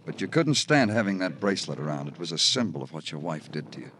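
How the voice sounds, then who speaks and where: An actor playing a world-weary, hard-boiled police detective in old radio noir, dry, sardonic and insistent. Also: male voice, low voice, big pitch swings, quick pace, a bit bright, a little hiss or hum. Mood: dry, needling. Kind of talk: 1950s radio noir acting. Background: music.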